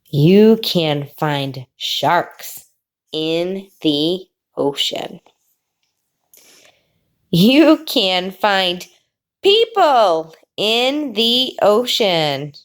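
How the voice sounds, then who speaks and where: Slow sing-song teacher reading aloud. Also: female voice, medium pitch, big pitch swings. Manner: slow, drawn-out reading with sing-song pauses before the last word; explains pictures in a friendly teacherly way. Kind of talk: children's read-aloud.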